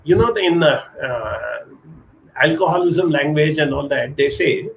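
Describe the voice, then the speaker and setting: A middle-aged man from India giving an earnest motivational talk over a webcam from a small curtained room, slowing down to stress key points. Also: fairly high pitch, expressive, vocal fry, highs cut off, slight background noise. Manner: earnest, urging, slows down for emphasis. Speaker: counselor giving a live talk. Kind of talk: motivational live-stream talk. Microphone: webcam video call.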